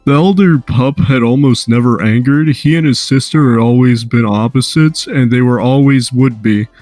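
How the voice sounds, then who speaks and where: A man's voice: mid-range voice, moderate pace, a little mellow, no echo, faint music behind. A man casually reading a story aloud, breaking off now and then to chat and swear. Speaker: amateur fan fiction reader.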